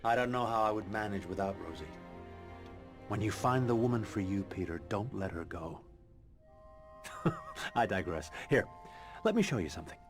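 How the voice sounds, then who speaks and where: A man's voice: fairly low pitch, expressive, moderate pace, long pauses, over music, natural voice. An actor playing a genial, affectionate middle-aged male scientist in a video game, musing like a professor. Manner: warm welcoming, professorial, musing.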